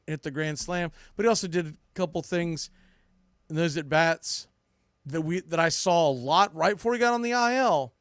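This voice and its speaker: A man's voice: a little high, fairly bright tone, dry recording. A man hosting a sports podcast, giving opinionated, unscripted baseball commentary in a blunt, emphatic way, often restarting and repeating phrases.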